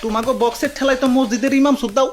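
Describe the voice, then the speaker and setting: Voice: high-pitched, fairly even intonation, racing, close to an everyday voice. A performer voicing a cranky, comical old grandpa in a comedy cartoon, exclaiming and grumbling. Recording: music behind the voice. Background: music.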